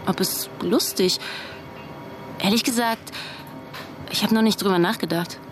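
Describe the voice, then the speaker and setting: An actress playing a spirited young tech graduate in a radio play, colloquial and quick to protest. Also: female voice, mid-range voice, over music, natural voice. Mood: flustered, defensive. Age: young adult.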